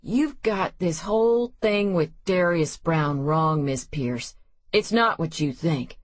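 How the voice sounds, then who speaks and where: A man's voice: fairly high pitch, animated, slow pace, no echo. A woman voicing an agitated, hot-tempered male prison official who talks defensively and emphatically. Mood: pleading.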